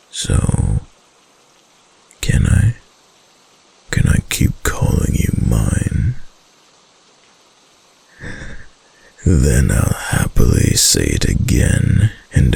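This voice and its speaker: Man voicing a very deep, gravelly, doting fox-spirit husband. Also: exaggerated voice. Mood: possessive, playful. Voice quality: deep, gravelly, husky, often breaking into whisper. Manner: slow, soft, adoring murmur with lingering pauses. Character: doting, possessive yandere kitsune husband, deep-voiced and tender. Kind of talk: intimate romantic ASMR roleplay.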